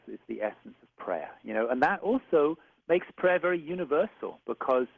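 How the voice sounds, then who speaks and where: A man with a British accent, an author talking about his book in a radio interview in a reflective, searching way. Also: fairly high pitch. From England. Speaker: author guest. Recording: phone-like radio audio.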